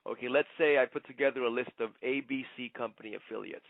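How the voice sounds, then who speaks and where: A man giving an instructional sales presentation like a trainer, conversational but emphatic, often checking in with a quick "okay?". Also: medium pitch, creaky, dry recording. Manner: conversational, punctuates points with "okay?". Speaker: network marketing trainer. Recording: muffled, narrow phone-like sound.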